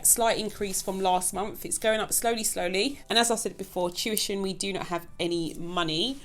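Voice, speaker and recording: A woman's voice: medium pitch, fairly bright tone. A woman hosting her own budgeting channel, talking casually and chattily to her viewers. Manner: chatty, keeps addressing viewers as "guys". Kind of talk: casual vlog chat.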